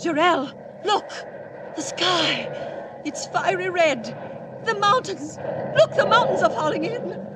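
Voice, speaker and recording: A woman's voice: extremely high pitch, takes it slowly, long pauses. An actress playing an anguished, frightened alien mother in a breathless, melodramatic 1940s radio drama style. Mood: panicked. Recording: very noisy old radio drama recording.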